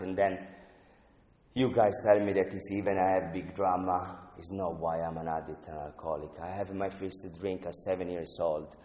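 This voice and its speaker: A man from Italy with an Italian accent, sharing his story at a twelve-step recovery meeting in halting English with many "you know"s. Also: lowish voice, a little mellow. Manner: halting non-native English, frequent "you know", earnest. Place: meeting room. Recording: echoey hall, speaker off-mic.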